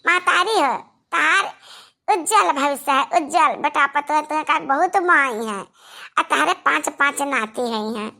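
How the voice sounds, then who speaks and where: A woman's voice: high voice, unhurried, pushed and bright, heavily acted. A woman voicing a melodramatic, scheming rustic village woman in an Awadhi-accented comedy cartoon. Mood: grandly prophetic.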